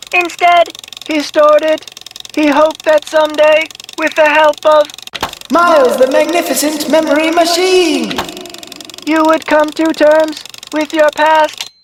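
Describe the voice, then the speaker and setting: A quirky talking memory machine from a video game, speaking in stilted, formal phrases with playful flourishes. The voice is very high and swings wildly in pitch, with some noise on the recording. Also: female voice. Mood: grandly boastful. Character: quirky talking memory machine, chirpy and programmed, riddle-giving. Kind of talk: video game character voice acting.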